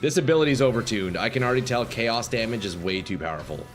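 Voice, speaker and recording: A male game streamer talks to his chat in a loose, excitable way, cursing and calling out to viewers. His voice is mid-pitched and slightly hoarse from talking too long. Faint game music plays underneath. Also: quick pace. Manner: chatty, swears, reacts loudly, talks to chat as "boys". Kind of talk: casual live-stream chat while playing.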